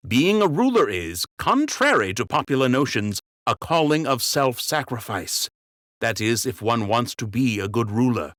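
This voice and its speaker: A man voicing a wise, dignified rabbit king who speaks in a measured, warmly stern way in an audiobook. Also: medium pitch, very animated, no echo, clean studio sound, voice pushed up. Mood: gently stern.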